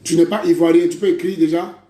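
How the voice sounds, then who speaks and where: A man's voice: a little high, fairly even intonation, fast, warm tone, a little hiss or hum. A man preaching a prophetic sermon, declaiming in repeated phrases and calling listeners brother. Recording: echoey room.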